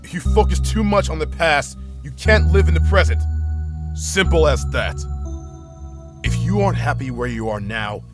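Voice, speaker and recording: A man's voice: a little high, lively intonation, moderate pace, music in the background, close to an everyday voice. A man playing a grieving woman's mind as a blunt, exasperated, sweary inner voice in an audio drama, confronting her with pointed questions. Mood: firm. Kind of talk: amateur audio drama acting.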